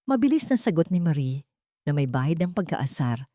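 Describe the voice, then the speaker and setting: Low-voiced woman telling a dramatic story. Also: very animated, a little fast, warm tone, dry recording, clean studio sound. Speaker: story narrator. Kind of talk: dramatic Tagalog audio drama narration.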